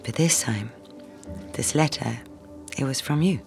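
An actress playing a polite young office secretary in an audio drama, who also narrates in the first person. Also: female voice, very deep voice, a little slow, creaky, full character voice. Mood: reflective. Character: polite, fond French office secretary, engaged young woman. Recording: music behind the voice.

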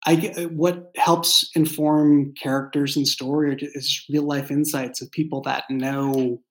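A man speaks in a mid-pitched voice, as a writer chatting about his craft. He explains in a casual, halting way and often circles back to reword what he has begun. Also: little pitch movement. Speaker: fiction podcast writer and creator. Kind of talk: casual behind-the-scenes discussion.

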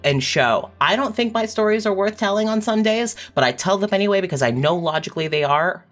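A woman speaks on a writers' panel in a heartfelt, deliberate way. Her delivery is tender and earnest, in a middling pitch, with faint music underneath. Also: expressive. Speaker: audio fiction writer on a panel. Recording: music playing behind the voice.

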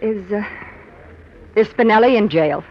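Female worldly nightclub showgirl in 1940s radio noir, mid-pitched, coy, hesitant, vintage dull sound. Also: lively intonation, unhurried. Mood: wary. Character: guarded, worldly young nightclub showgirl on the run. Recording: band-limited 1940s radio with music.

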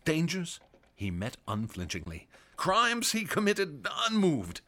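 An actor playing a haunted doctor who narrates gravely and grows excited in scenes. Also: male voice, on the high side, slow pace, exaggerated voice. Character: frightened male doctor assistant and narrator. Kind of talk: radio drama narration.

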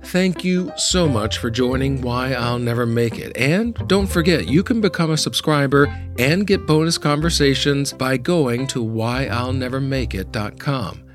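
A man's voice: mid-range voice, unhurried, over music. A man speaking as a podcast host, addressing his listeners. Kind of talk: podcast hosting.

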